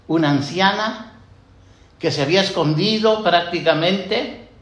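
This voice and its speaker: Slow, reflective priest in an echoey church. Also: male voice, on the high side, a bit bright. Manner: slow, reflective, with many "eh" fillers and questions to the congregation. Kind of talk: homily.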